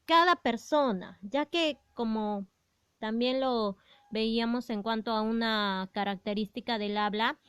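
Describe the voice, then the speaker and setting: Clear-voiced woman teaching slowly. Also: fairly high pitch, fairly bright tone, no echo, clean studio sound. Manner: patient, explanatory, many fillers and rhetorical questions. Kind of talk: explaining a grammar lesson to students.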